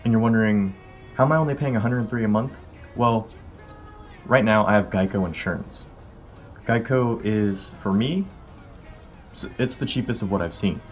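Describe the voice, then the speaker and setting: A man's voice: fairly low pitch, normal speed, pauses often. A man in his early twenties with an American accent, talking informally to viewers as he explains things in a casual vlog. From California, United States. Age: early 20s. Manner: informal, conversational. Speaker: car vlogger. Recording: music behind the voice. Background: background music.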